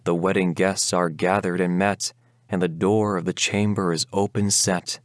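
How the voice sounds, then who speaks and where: A man's voice: sits low, little pitch movement, normal speed, no echo, studio quality, close to an everyday voice. A man voicing an undead horseman bridegroom in a Gothic ballad, urgent, commanding and ominous, with archaic, imperious speech. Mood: ominous, insistent. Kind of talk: dramatic Gothic ballad recitation.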